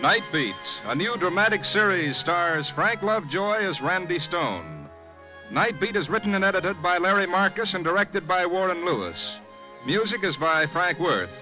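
A man's voice: sits high, sing-song, moderate pace, over music, rough recording. A man announcing in formal, emphatic scripted style on a vintage 1950s radio broadcast, projecting dramatically.

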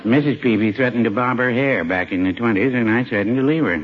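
An actor playing a mild, henpecked middle-aged American druggist with a dry, hesitant, folksy manner in a 1950s radio comedy. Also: male voice, mid-range voice, fast, some chatter behind, lightly acted. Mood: wistful, droll. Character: mild, droll, henpecked small-town druggist, an older married man. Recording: dull, faintly noisy 1950s radio recording.

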